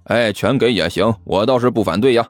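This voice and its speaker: A man voicing a brazen, stubborn, quick-witted hustler in an audiobook, arguing with sarcasm and sneers. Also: mid-range voice, sing-song, no echo, soft background music. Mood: sly, mocking.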